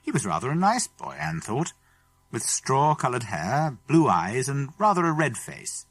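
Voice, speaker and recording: A man's voice: medium pitch, big pitch swings, unhurried, creaky, a bit bright. A man with a British accent reading a children's story as an audiobook narrator.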